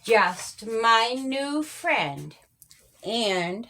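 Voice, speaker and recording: Slow, higher-voiced woman reading a rhyming story. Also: big pitch swings, bright, forceful tone. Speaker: author reading her own story. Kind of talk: children's story read aloud in rhyming verse.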